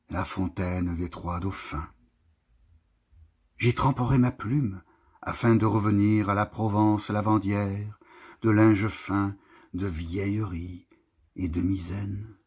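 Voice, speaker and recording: Male poetry reader: deep, heavily rasped voice, expressive and declamatory, measured phrasing. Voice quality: husky, raspy, low voice. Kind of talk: expressive literary poem recitation.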